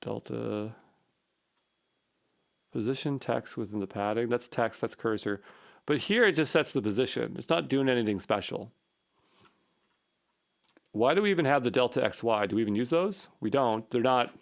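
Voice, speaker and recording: Guy doing a coding tutorial, mid-pitched voice, kinda muttering to himself while he works it out. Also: lively intonation, normal speed. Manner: muttering, repeats short phrases while puzzling through. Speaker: programming instructor. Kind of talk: unscripted screen-share coding walkthrough, thinking aloud.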